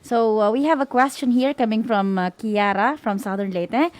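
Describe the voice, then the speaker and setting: A woman with a Filipino accent hosts in a casual, chatty style, reading out audience questions. Her voice sits fairly high, and the sound is dry with hardly any room around it. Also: moderate pace, no room sound. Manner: chatty, mixes local languages with English. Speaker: co-host of a school-on-the-air program.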